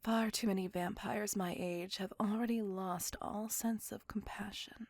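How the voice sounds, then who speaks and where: A woman voicing a gentle, remorseful immortal vampire in an ASMR roleplay, speaking tenderly and intimately in a breathy whisper. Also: medium pitch, fairly even intonation, no room sound. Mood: remorseful, confessing. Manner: slow, tender, earnest confiding.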